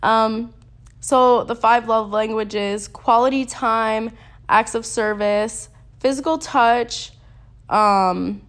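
A woman's voice: a little high, slow pace. A young woman hosts a casual solo podcast while she is sick, sniffling as she talks. She rambles in a chatty, slangy way and laughs at herself. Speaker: podcast host.

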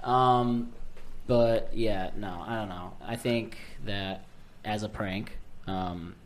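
Low-voiced woman hosting a casual podcast. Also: fairly even intonation, takes it slowly. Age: 20s. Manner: profane, teasing banter. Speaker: podcast host. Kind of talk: casual chat.

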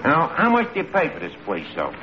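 A muffled, noisy old radio comedy recording carries a scheming New York tavern manager. He talks in a high, swinging voice with folksy mangled grammar, always sure of himself. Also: wildly swooping pitch, brisk. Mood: explaining. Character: scheming, malaprop-prone tavern manager, a working-class city man.

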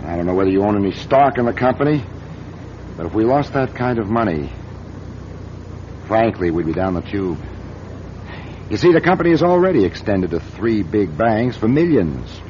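A man's voice: lowish voice, sing-song, moderate pace, long pauses, a little mellow. An American man playing a ruthless, cornered corporation president in a radio drama, self-justifying and menacing. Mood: pressing, exasperated. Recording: dull, noisy old radio drama recording.

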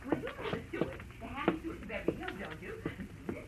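An actress playing a chatty, excitable society lady in a 1940s radio drama, gushing in a genteel, theatrical way. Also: high voice, fast talker. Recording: dull vintage radio recording with faint noise.

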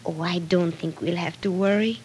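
An actress playing a gentle, patient young wife and new mother in an old radio soap, speaking softly with careful, precise diction. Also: lowish voice, fairly even intonation, moderate pace, natural voice. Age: young adult. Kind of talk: 1940s radio soap acting. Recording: noisy vintage radio recording.

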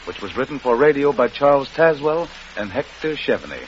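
A man presenting a 1940s radio drama program from a script, with formal, measured phrasing. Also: medium pitch, animated. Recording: muffled, faintly noisy vintage radio broadcast.

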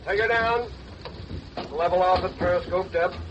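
An actor playing a commanding submarine officer in 1940s radio style, on a muffled, very noisy recording. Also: male voice, high voice, normal speed, close to an everyday voice. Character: commanding American submarine officer barking orders.

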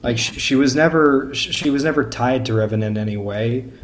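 A man's voice: mid-range voice, a little fast, a little hiss or hum, poor audio. A man in a casual podcast discussion, stammering and repeating words as he searches for his phrasing. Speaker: podcast guest or panelist discussing a book.

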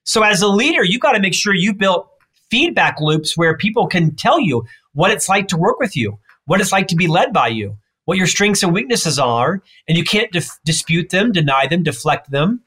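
A man with a lifelong stutter, a leadership expert answering interview questions emphatically and rattling off points in quick lists. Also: high voice, big pitch swings, pushed and bright, breathy edge.

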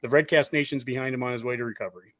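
A man's voice: mid-range voice, steady tone, racing, fairly bright tone. A man in his forties co-hosting a casual sports podcast, easygoing and chatty with filler words. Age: 40s. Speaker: podcast co-host.

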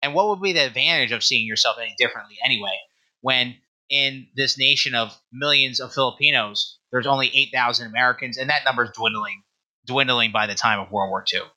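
Bright, forceful-voiced man explaining research. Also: mid-range voice, a little fast, low-quality recording. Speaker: guest author and historian discussing own book. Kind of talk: explanatory interview talk.

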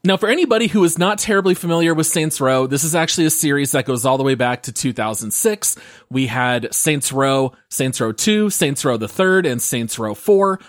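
A man's voice: a little high, lively intonation, normal speed, no echo. A man hosting a casual gaming podcast, upbeat and leading the discussion on a close mic. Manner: upbeat, leads the segment. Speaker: podcast host.

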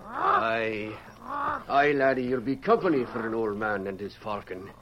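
An actor playing a kindly old Scottish falconer with a thick accent, in 1940s radio acting style. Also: male voice, medium pitch, big pitch swings, a little slow, creaky, faint music behind. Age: older. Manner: hearty, burring, fatherly. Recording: dull old radio recording with faint hiss.

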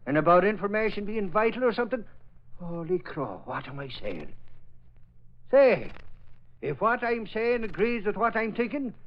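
High-pitched blustering Irish newspaperman. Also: normal speed. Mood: suspicious, baffled. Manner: folksy, excitable, tags sentences with repeats. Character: blustering, simple, good-hearted Irish newspaper reporter, easily smitten. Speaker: newspaper reporter. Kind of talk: old-time radio comedy-drama acting. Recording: dull, noisy old radio recording.

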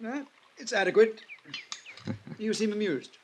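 High-voiced, languid rich playboy. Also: male voice, expressive, a little slow, slight background noise. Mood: languid, amused. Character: languid, elegant, tired rich playboy man. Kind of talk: 1950s radio drama acting.

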